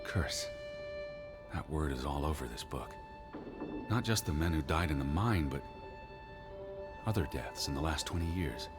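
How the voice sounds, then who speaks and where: An actor playing an anxious, bookish 1920s gentleman searching for his missing sister in a video game drama, speaking earnestly in precise, formal phrases. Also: rumbling low, animated, measured pace, long pauses, music in the background. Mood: grave, brooding.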